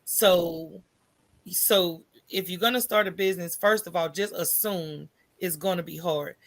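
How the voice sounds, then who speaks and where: An American woman talking as a podcast guest in an animated, colloquial storytelling way, candid and often checking in with "right?". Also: fairly low pitch, measured pace.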